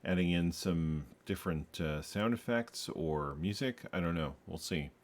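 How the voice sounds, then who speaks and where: A man's voice: deep voice, moderate pace. A man hosting a programming tutorial, thinking aloud as he codes, tired, with many ums and uhs. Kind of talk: casual screen-recorded coding walkthrough.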